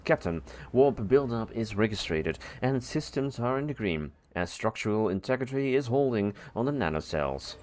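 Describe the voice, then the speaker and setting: A man playing a calm, factual female starship engineer in an audio drama, giving brisk technical reports. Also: mid-range voice, close to an everyday voice. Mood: focused.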